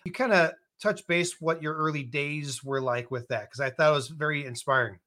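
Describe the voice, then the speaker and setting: Guy hosting an interview, bright voice, laughs along a lot, upbeat. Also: fairly high pitch, moderate pace. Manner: encouraging, laughs along often. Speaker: interview host. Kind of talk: casual interview chat.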